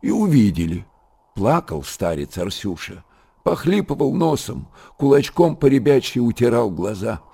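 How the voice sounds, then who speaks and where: Хрипловатый выразительный сказитель. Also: male voice, medium pitch, very animated, normal speed, creaky, warm tone, slightly rough, exaggerated voice. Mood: storytelling. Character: expressive folk storyteller of a monastery tale. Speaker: narrator. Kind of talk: folksy literary radio drama narration.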